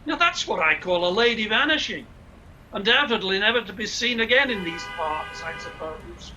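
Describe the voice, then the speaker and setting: A man playing a brisk, commanding Scotland Yard inspector in a live radio play. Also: high-pitched, expressive, noisy background. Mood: calling, wry.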